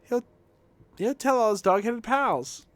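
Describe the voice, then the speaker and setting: A man's voice: very high voice, expressive, measured pace, a bit bright, pitched above the performer's own voice, heavily acted. A man voicing a brash, indignant boy who leads a street gang in a video game, slangy and blustering. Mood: defensive, blustering. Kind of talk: video game dialogue read aloud.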